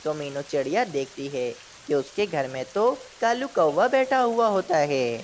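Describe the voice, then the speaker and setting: A woman narrates a children's story in a low voice with lively swings of intonation. Her delivery is that of a scripted storyteller. A faint hiss lies behind her. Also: a little slow.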